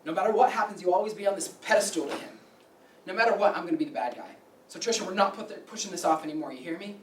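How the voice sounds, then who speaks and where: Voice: mid-range voice, very fast, natural voice. An actor in a staged play reading playing a casual, slangy young best friend who is guarded about a secret. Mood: tense, insistent. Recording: roomy, distant mic, clear background noise.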